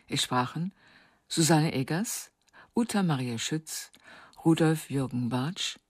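A woman's voice: low voice, a little mellow, husky, no room sound, clean studio sound. A woman working as a radio announcer, reading scripted credits.

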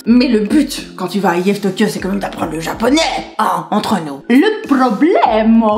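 A woman in her twenties vlogging to camera in a chatty, rambling, animated way, gesturing as she talks. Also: a little high. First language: French. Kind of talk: casual chat to camera. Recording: echoey room with faint music.

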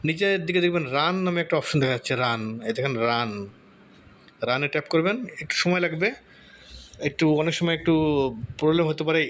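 A man giving a casual step-by-step software tutorial, often repeating himself and checking in with listeners. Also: fairly high pitch, fast talker, pauses often. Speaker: tutorial host. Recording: noticeable background noise.

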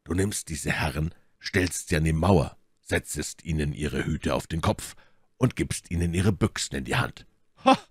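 This is a man reading as a composed musketeer who takes command in an audiobook adventure. His voice is very low, measured and authoritative, with wry calm. The recording is dry and close. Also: husky, dry recording, heavily acted. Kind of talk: audiobook character dialogue.

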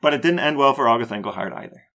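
A man's voice: mid-range voice, expressive, rapid-fire, bright, forceful tone. A man co-hosting a podcast, asking questions in a casual, conversational interview style. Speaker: podcast co-host.

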